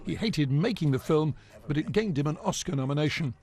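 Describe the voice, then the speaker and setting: A man's voice: on the high side, animated, brisk, a little mellow. A man narrating a scripted obituary report as a news correspondent.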